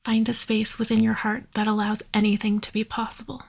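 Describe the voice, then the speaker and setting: A woman guides a relaxation meditation in a fairly high voice. Her delivery stays extremely flat and level, with a creaky edge. She is soothing and unhurried, leaving long pauses between instructions. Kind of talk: guided yoga nidra meditation.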